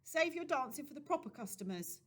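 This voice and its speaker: Woman doing gentle poor young street woman. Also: high voice, very animated, a little fast, voice pushed up.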